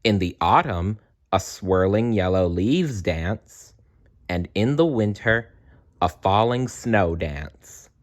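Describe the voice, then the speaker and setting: Slow, lively male storybook narrator. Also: fairly low pitch. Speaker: storybook reader. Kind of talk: read-aloud children's story narration.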